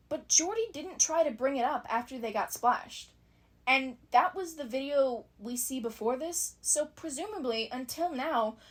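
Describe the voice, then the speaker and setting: Higher-pitched woman giving analytical commentary. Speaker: fan video essayist. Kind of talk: conversational scripted commentary.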